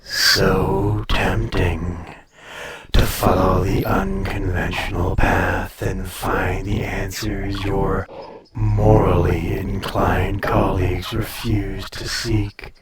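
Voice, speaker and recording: A man playing an ancient, proud dragon in a fantasy audio roleplay, speaking formally and deliberately with a calm menace. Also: low voice, a loud crowd. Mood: intrigued, tempting. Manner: slow, formal, deliberate speech with long pauses. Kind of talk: scripted fantasy audio roleplay, addressed to listener.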